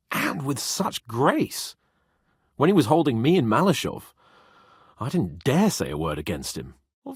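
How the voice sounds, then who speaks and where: A man playing a spirited, haughty yet loyal young medieval nobleman in a video game, in an expressive, lordly manner. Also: lowish voice, breathy edge, no room sound, studio quality, full character voice. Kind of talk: dramatic video game voice acting.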